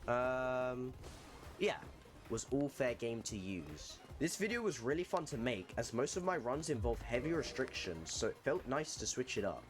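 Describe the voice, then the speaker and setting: A man's voice: mid-range voice, big pitch swings, normal speed. A man giving an upbeat, jokey introduction to a gameplay video. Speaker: gaming channel host. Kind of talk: casual gameplay voice-over.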